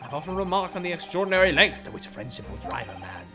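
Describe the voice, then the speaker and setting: A streamer voicing an eccentric, pompous male detective in a put-on British accent, low and drawling, over game music. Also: sing-song, fast talker, sharp tone. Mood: smug, explaining.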